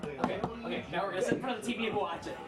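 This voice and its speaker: A man talking excitedly and casually in an amateur home video. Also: sits high, rapid-fire, distant mic. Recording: echoey home video, music and voices behind. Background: music and other voices.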